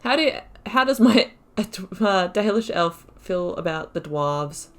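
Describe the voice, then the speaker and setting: A woman's voice: medium pitch, expressive, slow, fairly bright tone. A woman hosting a game playthrough with casual, chatty commentary and playful asides. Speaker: gaming video host.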